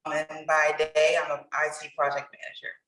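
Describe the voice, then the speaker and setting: A woman talking casually as an interview guest, relaxed and rambling with many fillers. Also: sits low, brisk. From Pittsburgh, United States. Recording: echoey room, far from the mic.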